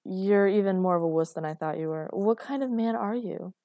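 A woman's voice: medium pitch, a little fast, dark tone, no room sound, clean studio sound, close to an everyday voice. A woman voicing a bitter, scornful mother in her thirties who berates and cuts people down in audiobook dialogue. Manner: berating, cutting.

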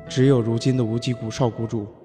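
A man's voice: medium pitch, flat delivery, music in the background, natural voice. A man voicing a composed young valley lord. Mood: reflective. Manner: measured, composed, slightly aloof. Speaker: voice actor. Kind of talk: Chinese audio drama acting and narration.

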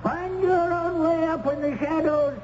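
A performer playing a fearful, irritable prison jailer in an old-time radio drama. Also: female voice, very high voice, a little slow, music in the background. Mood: nervous, irritable. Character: gruff, fearful prison jailer in colonial times. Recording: muffled old radio recording with hiss.

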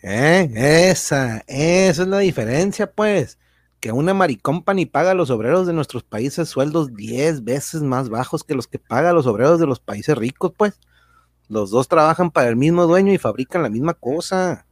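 A man's voice: fairly high pitch, expressive, normal speed, dry recording. Educational radio drama: indignant, folksy male explainer acted, colloquial, dry sound. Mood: explaining. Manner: colloquial, rhetorical questions, tag words.

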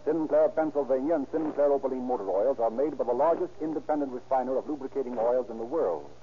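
A man's voice: mid-range voice, fast talker. A man with an American accent delivering scripted 1930s radio announcing and narration. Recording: tinny, noisy vintage radio broadcast.

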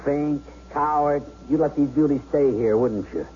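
Through a muffled, faintly hissy radio recording comes a male actor playing a menacing bank robber holding hostages. He is slangy, sneering and suspicious, in a tough delivery pitched a little high. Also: moderate pace, warm tone, close to an everyday voice. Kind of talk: 1970s radio drama acting. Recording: dull, noisy 1970s radio broadcast.

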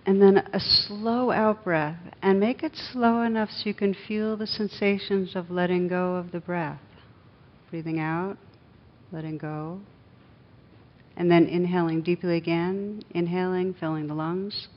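A woman leading a guided meditation as the teacher, speaking in soothing, measured short phrases with pauses. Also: fairly even intonation. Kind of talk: guided meditation, slow instructive speech. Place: a room with a live group. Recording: dull lo-fi recording, clear background rumble noise.

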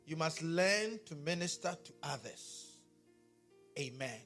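Man, Ghanaian accent, animated pastor, bright tone, faint music. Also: fairly high pitch, takes it slowly. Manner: animated, talks with the congregation. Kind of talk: sermon.

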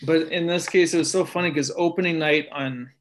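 A young man who stammers, repeating words and breaking off syllables, telling stories in relaxed casual conversation. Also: on the high side, a little fast, slight background noise. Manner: relaxed storytelling with frequent fillers.

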